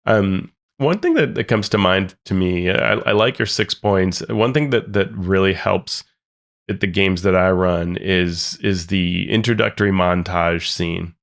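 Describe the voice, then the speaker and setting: A man's voice: deep voice, a little slow, creaky. A man co-hosting a gaming podcast, talking chattily with lots of asides about running games. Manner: chatty, filler-heavy, full of asides. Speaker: podcast co-host. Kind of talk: casual podcast discussion.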